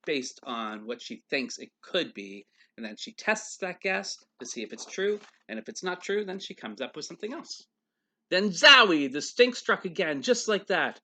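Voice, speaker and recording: A man reading a picture book aloud like a teacher, emphatic and expressive, with casual explanatory asides for young listeners. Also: on the high side.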